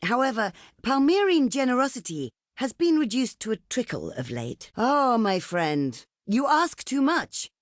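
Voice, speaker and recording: A woman's voice: a little high, sing-song, measured pace, few pauses, no room sound, studio quality. An actress playing a proud, imperious ancient desert queen in a video game, speaking in formal, theatrical and haughty phrases. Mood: cool refusal. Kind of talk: video game diplomacy voice lines.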